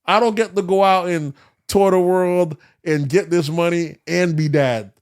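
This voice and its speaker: Male podcast co-host, slightly high, crisp voice. Loose, profane, opinionated, slangy talk that wanders. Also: fairly even intonation, a little slow, darkish tone. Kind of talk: casual opinionated podcast chat.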